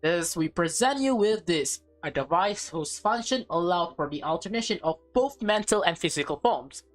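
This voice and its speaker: A woman's voice: lowish voice, lively intonation, normal speed, faint music behind, lightly acted. A woman voicing a pompous alien scientist who addresses a human formally and condescendingly, read from a visual novel. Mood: grand, formal.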